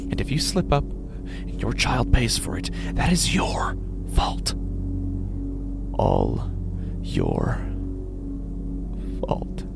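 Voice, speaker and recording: A man playing an anguished, sleepless, guilt-ridden father in a horror audio drama, halting and breathy, swinging between hushed and intense delivery. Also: medium pitch, huge pitch swings, over loud music, heavily acted. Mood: grave, guilty. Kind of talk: dramatic horror audio narration in first person.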